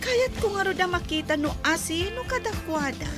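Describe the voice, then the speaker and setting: A woman plays an expressive village woman in a radio comedy drama. Her voice is high and swings widely in pitch, with music playing underneath. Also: moderate pace. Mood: curious.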